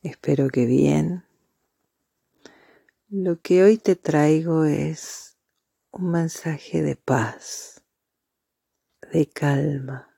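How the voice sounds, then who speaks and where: A meditation guide speaking softly and breathily in a calming, deliberate way with marked pauses. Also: mid-range voice, creaky, breathy edge, no echo.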